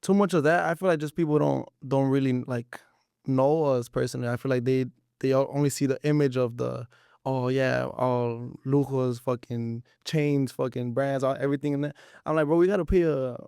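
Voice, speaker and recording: Young guy, gravelly and slangy. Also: mid-range voice, steady tone, moderate pace, mellow, dry recording, studio quality. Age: about 20. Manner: slangy, informal, frequent swearing. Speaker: musician, interview guest. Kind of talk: casual interview chat with Spanish-English code-switching.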